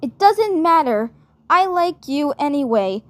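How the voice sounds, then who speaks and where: A woman playing a bubbly, affectionate girl, speaking haltingly and repeating her words and phrases. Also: sits high, sing-song, no room sound.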